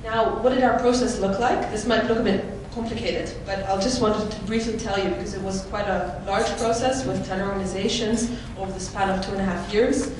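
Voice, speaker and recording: A woman from the Netherlands giving a conference presentation, explaining clearly with occasional fillers. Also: mid-range voice, steady tone, brisk, few pauses. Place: large conference hall. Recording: echoey hall, mic from far off, noisy.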